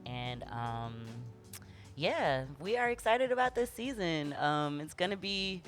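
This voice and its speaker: A woman's voice: on the low side, big pitch swings, slow pace, faint music behind. A woman co-hosting a community radio show in an informal, playful, colloquial way. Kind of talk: casual community radio chat.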